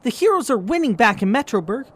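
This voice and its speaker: A woman's voice: on the high side, big pitch swings, fast talker, breathy edge. A woman playing a plucky, eager young boy hero in an audio drama, spirited and exclamatory. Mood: defiant, probing.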